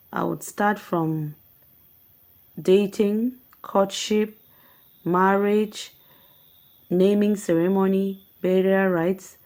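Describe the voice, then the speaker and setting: Female, lower register, unhurried and deliberate, explanatory delivery broken into short phrases. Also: darkish tone. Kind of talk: prepared spoken answer, explanatory.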